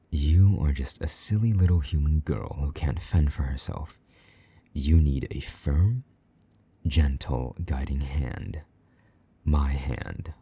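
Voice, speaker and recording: Man acting a very deep, dark-voiced possessive vampire knight. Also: exaggerated voice. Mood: condescending, possessive. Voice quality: husky, breathy, half-whispered at times. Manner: condescending, slow-burning menace, mock-sweet endearments. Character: possessive, jealous male vampire knight, haughty and menacing. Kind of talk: intimate audio roleplay acting.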